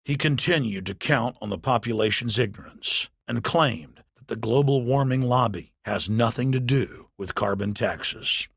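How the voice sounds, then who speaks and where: A man with a gravelly voice reading scripted, accusatory documentary narration in a forceful way. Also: mid-range voice, moderate pace, hardly any pauses, dry recording, clean studio sound. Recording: voice-over with clear background noise.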